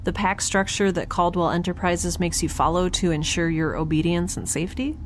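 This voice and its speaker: Woman playing a low-voiced, chatty young space scientist. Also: fairly even intonation, brisk, slight background noise, close to an everyday voice. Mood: musing, wry. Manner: chatty, wry, self-interrupting, informal. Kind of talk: audio drama, first-person spoken log.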